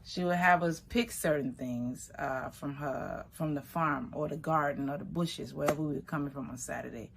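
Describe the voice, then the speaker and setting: A middle-aged woman from Liberia telling stories about her grandmother in a relaxed, conversational way. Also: sits low, moderate pace, creaky, few pauses, slight background noise. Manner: relaxed, conversational, shifting pace, Liberian English phrasing. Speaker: vegan food business owner. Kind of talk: personal storytelling.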